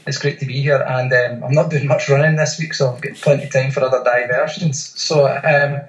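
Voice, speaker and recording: A Scottish man in his forties, a guest in a casual interview, talking with many pauses and fillers. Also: medium pitch, steady tone, echoey room, rough recording. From Scotland. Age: 40s. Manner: hesitant, many fillers and restarts. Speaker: guest, ultra runner.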